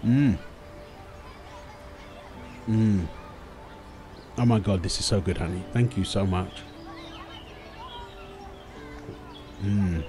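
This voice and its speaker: A man's voice: low-pitched, slow pace, mellow, soft background music. A man playing a doting young husband and new father, tender and playful, in an ASMR boyfriend roleplay. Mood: appreciative, affectionate.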